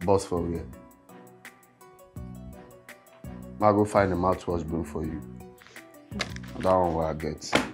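A man's voice: low-pitched, fairly even intonation, moderate pace, natural voice. Casting: male actor as a hot-tempered Nigerian kidnapper, boastful would-be music star, repeats himself as he scolds, film drama. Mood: grumbling, weary. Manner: blustering, repetitive, scolding. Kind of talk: film acting, speaking Nigerian Pidgin.

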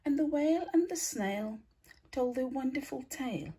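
A woman tells a rhyming picture-book story in a fairly high, very husky voice. Her delivery is theatrical and deliberate, swooping expressively in pitch and drawing out key words. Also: lively intonation. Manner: theatrical, deliberate storytelling with drawn-out words and breathy hushed line endings. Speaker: storybook reader, teacher.

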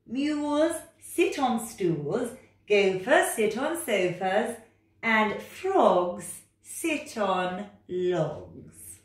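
A woman's voice: mid-range voice, pitched above the performer's own voice. A woman voicing a bossy, impatient know-it-all storybook cat, making emphatic singsong rhyming pronouncements. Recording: roomy, distant microphone.

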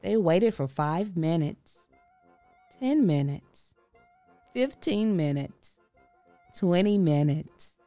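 A woman reading a children's story aloud expressively, with theatrical, deliberate emphasis. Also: lowish voice, expressive, warm tone, dry recording, faint music behind. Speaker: storybook reader.

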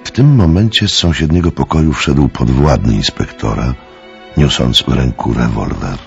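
A man's voice: rumbling low, lively intonation, moderate pace, creaky, dull audio, faint music behind. A man narrating a radio play in a scripted, dramatic storytelling style.

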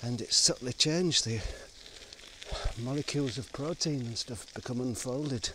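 A man of middle pitch thinks aloud as he cycles along country lanes, wandering from one thought to the next. His voice comes through a bike-mounted camera, with steady wind and road noise behind it. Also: measured pace. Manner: musing, wandering, loose and unscripted. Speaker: vlogger. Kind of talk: rambling monologue while cycling. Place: outdoors on a country road and woodland, riding a bike. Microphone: action camera on the bike. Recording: noisy outdoor action-camera audio.

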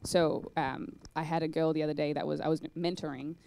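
Young female speaker, low gravelly mellow voice, chatty with lots of "like", handheld mic in a cinema hall. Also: level tone, fairly quick, slight background noise. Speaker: conference speaker. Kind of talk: live talk and Q&A. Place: large dark cinema hall on stage.

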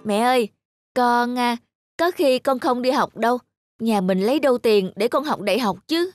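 A woman's voice: fairly high pitch, moderate pace, close to an everyday voice. A woman playing an emotional teenage daughter in a cartoon, devoted to her mother but easily ashamed. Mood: earnest. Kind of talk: cartoon voice acting.

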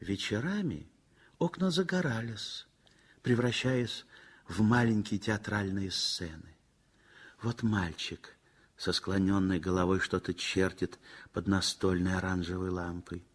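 A man narrating a 1960s radio play in a measured, expressive storytelling manner. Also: low-pitched. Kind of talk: scripted literary narration, 1960s radio theatre.

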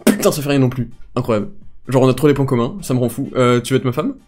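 A man's voice: mid-range voice, expressive, quick pace, darkish tone. A young man hosting a casual video chat with strangers, joking in a cheeky, slangy way. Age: young adult. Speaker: online video host. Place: small bedroom, indoors. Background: music.

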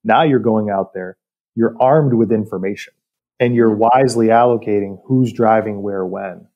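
A man speaking as an expert podcast guest, explaining industrial work in a measured, technical way. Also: lowish voice, lo-fi sound. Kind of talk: explanatory interview talk about industry.

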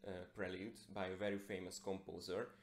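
A young man from Hungary with a Hungarian accent, a guitarist and composer introducing a concert in hesitant, halting phrases. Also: low voice, little pitch movement, slight background noise. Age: young adult. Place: plain indoor room. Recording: echoey small room.

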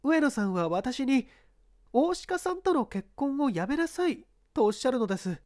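A young woman performed by a female reader gives detailed testimony in a mystery story. Her voice is high and lively in pitch, with polite, formal phrasing. The sound is dry and composed. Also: normal speed, no echo. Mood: earnest, explaining. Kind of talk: dramatized mystery story reading.

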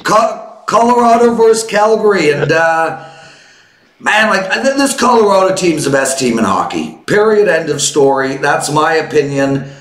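A man in his fifties hosting a casual sports betting chat on a boom mic, joking and teasing his co-hosts. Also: very animated, moderate pace, a bit bright, roomy sound. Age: 50s. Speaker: host. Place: home studio. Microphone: headphones and boom mic.

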